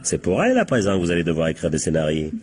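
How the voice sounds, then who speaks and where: A man playing a shrewd, ironic police captain who deliberately corners suspects in a French radio crime drama. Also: deep voice, wildly swooping pitch, a little mellow, slight background noise. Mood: sly, ironic. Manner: deliberate, ironic, teasing rhetorical questions.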